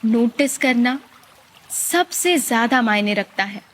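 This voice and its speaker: Very slow, higher-pitched woman guiding meditation. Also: a little hiss or hum. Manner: calm, gently instructing, with long pauses. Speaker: meditation guide. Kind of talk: guided meditation narration.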